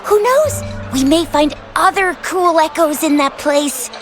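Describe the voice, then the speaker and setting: A woman playing a spirited, teasing young companion in a video game, with a breezy, expressive manner. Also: sits high, measured pace, soft background music. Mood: breezy, curious.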